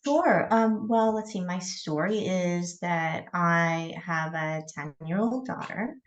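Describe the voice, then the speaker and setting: Middle-aged female coach, low-pitched, measured, hesitant delivery. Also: muffled recording. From Michigan, USA. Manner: thoughtful, hesitant, with fillers and light laughs. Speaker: coach, podcast guest. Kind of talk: podcast interview.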